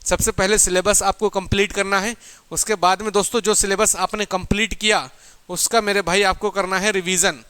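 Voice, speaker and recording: High-pitched, bright man teaching. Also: level tone, moderate pace, clean tone, no echo. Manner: emphatic, colloquial, asks and answers own questions. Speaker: exam coaching teacher. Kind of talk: informal motivational lecture to students.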